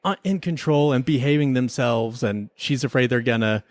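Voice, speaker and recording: A man's voice: mid-range voice, moderate pace, no room sound, studio quality. A man talking casually as a podcast co-host, giving his review of a TV episode.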